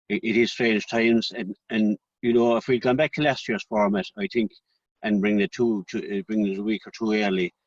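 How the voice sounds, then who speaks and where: Low-voiced man, dull dry sound. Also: no room sound. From Ireland. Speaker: radio sports team panellist. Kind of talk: conversational sports opinion.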